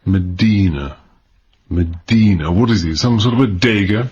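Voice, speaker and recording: An actor playing a blunt, middle-aged British ex-soldier turned country squire in a radio drama, with gruff, old-fashioned speech. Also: male voice, sits low, a little slow, darkish tone, highs cut off. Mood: sceptical.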